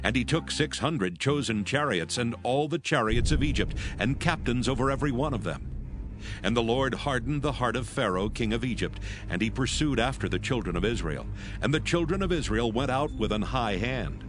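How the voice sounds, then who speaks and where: A man with a medium-pitched voice narrates a dramatized Bible. His scripted reading is measured and solemn. Loud music plays throughout. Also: animated, rough recording.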